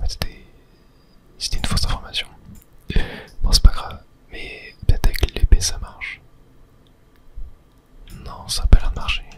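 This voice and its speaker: A person whispering a casual ASMR commentary while playing a video game, thinking aloud in a tentative way. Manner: hushed, unvoiced whispering. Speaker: gaming video host.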